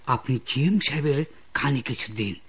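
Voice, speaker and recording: A man's voice: mid-range voice, moderate pace, fairly bright tone, breathy edge. A man with a Bangladeshi accent playing an uneasy, conspiring office informant in a radio drama, urgent and confiding. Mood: conspiratorial.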